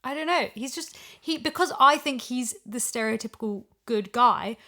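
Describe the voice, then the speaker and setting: A woman's voice: fairly high pitch, moderate pace, pushed and bright. A woman in a casual podcast discussion, reasoning through her points conversationally. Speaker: podcast guest, audio drama voice actor.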